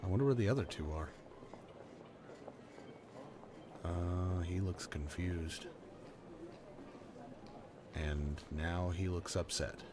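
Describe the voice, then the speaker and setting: A man's voice: low-pitched, slow pace, long pauses, noisy background. A young man acting a laid-back, playful working-class boyfriend in a conversational roleplay audio drama, informal and earnest. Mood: uneasy, subdued. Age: young adult.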